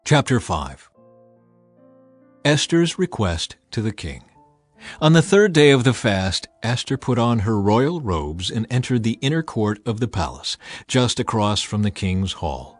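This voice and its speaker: A man giving a dramatized scripted narration of scripture, with solemn, deliberate phrasing. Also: on the low side, very animated. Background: faint music.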